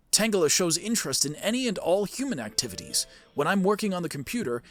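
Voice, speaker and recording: A man voicing a kindly, curious grandparent professor from a video game, reading a diary in a gentle, musing manner. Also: fairly high pitch, breathy edge, exaggerated voice.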